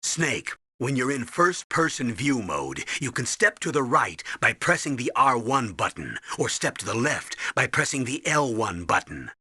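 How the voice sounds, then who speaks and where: Military commander, husky, sharp, emphatic, animated delivery, instructive, video game tutorial. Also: male voice, medium pitch, measured pace, hardly any pauses, full character voice. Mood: stern, instructive. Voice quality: raspy.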